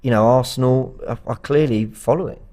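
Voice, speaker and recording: A man's voice: medium pitch, fairly quick, warm tone. A man co-hosting a live football fan show, talking casually and rambling with lots of fillers and restarts. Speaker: fan channel co-host.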